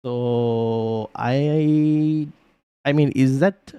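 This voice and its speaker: A man's voice: mid-range voice, fairly even intonation, very slow, clean tone, no echo, lo-fi sound. A man hosting a casual fantasy football live stream, reading viewer comments aloud and thinking through team choices with lots of fillers and restarts.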